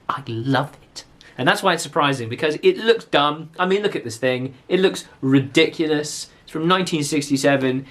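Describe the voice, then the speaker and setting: A man hosting a book vlog in a casual, animated, opinionated and exclamatory way. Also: a little high.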